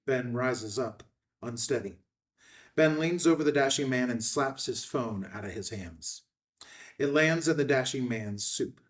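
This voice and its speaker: Male narrator for screenplay action lines, measured and terse, medium pitch, recorded at some distance. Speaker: narrator reading stage directions. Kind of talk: scripted narration at a table read.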